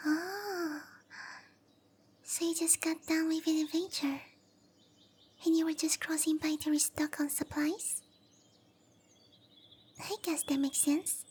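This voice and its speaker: A tiny young cowgirl monster girl speaks in a very high, girlish voice at a slow, careful pace. She is shy and apologetic, with soft, breathy hesitations, in the intimate style of anime roleplay ASMR. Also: steady tone, a little hiss or hum. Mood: curious, excited.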